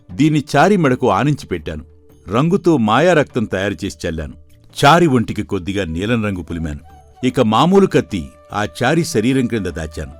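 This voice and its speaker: A man playing a stern, knowing sage in an animated folktale, admonishing and explaining. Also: lowish voice. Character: stern male sage, secretly a scheming magician in disguise.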